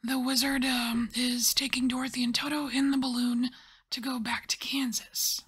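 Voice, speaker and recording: A woman softly whispering and musing as she lays out and reads tarot cards in an ASMR style. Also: high-pitched, level tone, husky. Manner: soft whispering, musing and unhurried. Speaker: tarot reader.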